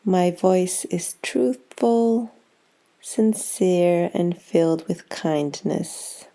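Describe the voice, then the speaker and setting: A woman guiding a yoga nidra meditation in a calm, soothing, unhurried manner with long pauses. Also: lowish voice. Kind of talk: guided meditation.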